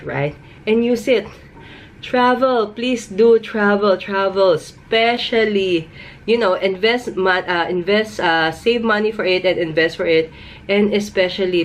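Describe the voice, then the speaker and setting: A woman in her thirties from the Philippines with a Filipino accent, giving casual, emphatic advice in a vlog and repeating words for stress. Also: fairly low pitch. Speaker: vlogger. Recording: noticeable background noise.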